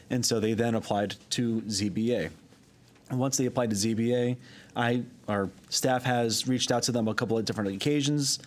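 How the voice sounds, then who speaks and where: A man's voice: mid-range voice, a little mellow. A man on town staff explaining fees and procedure to a board at a public meeting, with frequent ums and restarts. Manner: halting, with frequent ums and self-corrections. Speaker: town wetlands staff member. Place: town hall meeting room.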